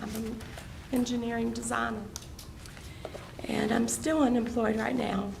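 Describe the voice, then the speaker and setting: A hoarse older woman speaks earnestly, laying out her hardships to a review board. Her voice is heavily gravelly and sits a little high. Noticeable noise runs under the recording of the meeting room. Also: steady tone, unhurried. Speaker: property owner appealing. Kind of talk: public hearing testimony.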